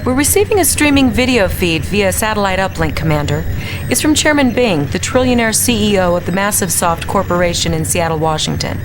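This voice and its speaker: A woman plays an earnest military intelligence lieutenant with a medium-pitched voice. She briefs formally and efficiently, like a video game mission officer, with very loud music underneath. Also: lively intonation, brisk, lightly acted. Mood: businesslike.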